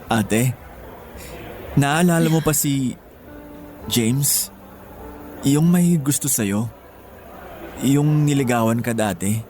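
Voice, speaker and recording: A man's voice: mid-range voice, slow pace, pauses often, a little mellow, close to an everyday voice. A man acting in a Filipino radio drama as a grateful, protective younger brother with an earnest delivery. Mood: grave. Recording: music behind the voice.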